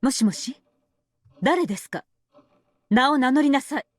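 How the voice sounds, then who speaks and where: A woman's voice: sits high, expressive, fairly quick, dry recording, clean studio sound. A woman playing a stern, proper married lady in a visual novel, speaking in a formal, clipped, demanding way. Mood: cold, demanding. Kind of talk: Japanese visual novel voice acting. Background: background music.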